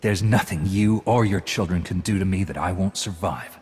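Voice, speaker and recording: A man's voice: low voice, moderate pace, darkish tone, breathy edge. A man playing a resolute, defiant heroic knight in a video game, speaking firmly. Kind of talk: video game cutscene acting.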